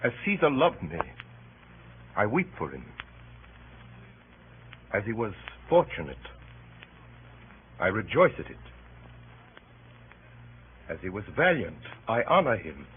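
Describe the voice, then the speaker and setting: An actor playing a solemn, honorable Roman senator giving measured classical oratory in a radio drama. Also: male voice, fairly low pitch, huge pitch swings, husky, heavily acted. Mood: impassioned appeal. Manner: deliberate, measured rhetoric. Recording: tinny old radio recording, faint noise.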